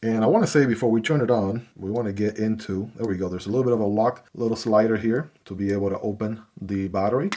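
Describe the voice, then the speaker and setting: A man's voice: fairly low pitch, fast, hardly any pauses, dark tone. A man casually talking through an unboxing and hands-on review of a gadget, easygoing and chatty with frequent fillers. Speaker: gear reviewer.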